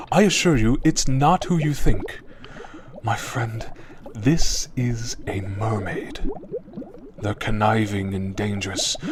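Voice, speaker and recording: A man playing a calm, mysterious shopkeeper in a radio drama, with a smooth voice and measured, formal, knowing delivery, quietly ominous. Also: medium pitch. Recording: voice over prominent background music.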